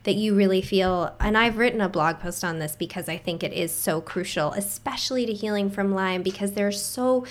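A woman's voice: mid-range voice, moderate pace, few pauses. A woman with a mid-range voice hosts a conversation, speaking in a casual, affirming way. She sums up her guest's points with enthusiasm and adds her own experiences. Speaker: podcast host.

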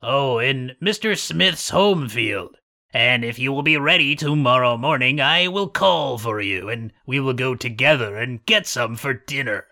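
Storybook wolf, sly and menacing, read by a man; slow and bright, dead-dry sound. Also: medium pitch, animated, dry recording, heavily acted. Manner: slow, drawn-out wheedling threats. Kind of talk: storybook reading.

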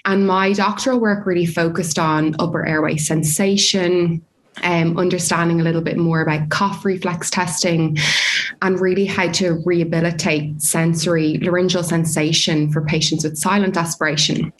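A woman from Dublin with an Irish accent, a researcher explaining her field in a measured way with frequent fillers. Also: fairly low pitch, steady tone, a little hiss or hum. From Ireland. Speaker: speech pathology researcher. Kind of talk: academic discussion, explaining.

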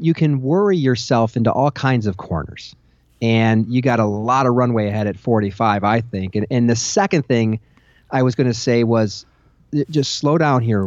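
A male co-host speaks in a measured, practical way, walking through his points one at a time. His voice comes through a dull, slightly lo-fi line with a dry sound. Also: mid-range voice, a little mellow, no room sound. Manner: measured, practical advice-giving. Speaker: podcast co-host. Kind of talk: casual podcast chat.

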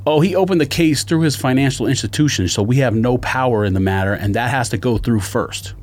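A man's voice: medium pitch, a little fast, darkish tone. A man telling a story as a casual podcast rant, slangy and exasperated, acting out both sides of exchanges. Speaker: sneaker podcast co-host.

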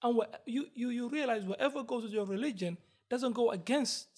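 A man with a notably high-pitched, husky voice talks in a casual group discussion. He is earnest, often repeating his phrases and putting rhetorical questions to his listeners. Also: brisk, a little mellow. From Britain. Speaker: panel discussion participant.

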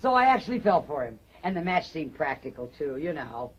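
An actress playing a bitter, taunting middle-aged wife in a stage drama, mocking and theatrical with drawn-out emphasis. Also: female voice, low voice, very animated, dull audio, slight background noise. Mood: goading. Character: bitter, taunting middle-aged wife telling stories about her husband.

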